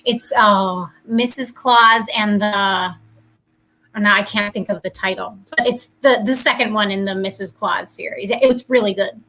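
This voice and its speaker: A woman co-hosting a book club livestream, chatting casually and relaxed. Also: mid-range voice, normal speed, low-quality recording.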